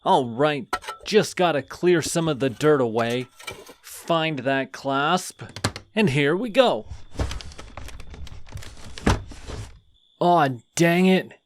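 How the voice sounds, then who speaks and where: A man's voice: on the high side, lively intonation, slow, background noise. A man voicing a friendly, chatty male skeleton who rambles sheepishly in an audio roleplay. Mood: grumbling. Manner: rambling, sheepish, talks to himself.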